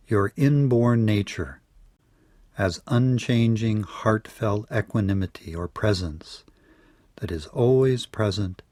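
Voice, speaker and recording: A man leading a guided yoga nidra meditation in a measured, soothing way, letting his phrases trail off softly. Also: lowish voice, dry recording.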